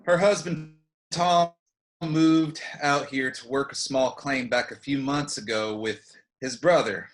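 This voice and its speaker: A man playing a folksy Old West town sheriff in a radio play, with hesitant, drawn-out phrasing. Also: medium pitch, steady tone, natural voice, poor audio. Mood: explaining. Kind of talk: online radio play acting.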